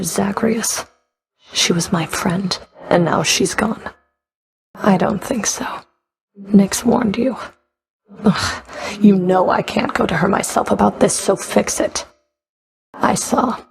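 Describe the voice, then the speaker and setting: An actress playing a stern, sardonic underworld Fury overseer in a video game, curt and commanding, with a gravelly, creaky voice. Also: female voice, mid-range voice, rough recording. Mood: bitter. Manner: curt, dry, commanding, grudging.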